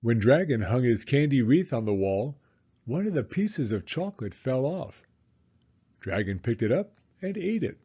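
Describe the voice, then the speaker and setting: A man reading a storybook aloud to young children in a deliberate, expressive storytelling way. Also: mid-range voice, slightly rough, dull audio.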